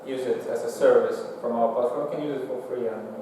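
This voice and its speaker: A man giving a technical lecture with slides in a lecture room, speaking halting, non-native English. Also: medium pitch, fairly even intonation. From Singapore. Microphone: far from the mouth, room pickup. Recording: echoey hall, distant mic, faint noise.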